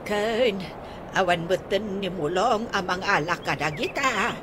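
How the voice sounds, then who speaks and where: A woman's voice: medium pitch, a little slow, noisy background, lightly acted. A woman with an Ilocano accent playing an elderly mother who scolds and consoles in a radio comedy drama. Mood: chatty, teasing.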